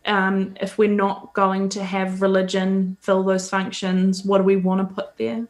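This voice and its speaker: A woman's voice: medium pitch, level tone, unhurried. A woman from New Zealand with a Kiwi accent, chatting as a guest on a video call, hesitant, with many ums and frequent laughs. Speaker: guest, podcaster. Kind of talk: casual interview chat.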